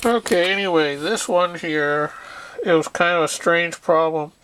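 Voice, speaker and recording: A man's voice: fairly high pitch, unhurried, background noise. A man talking casually as he demonstrates and explains repair work on equipment. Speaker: radio repair hobbyist presenter.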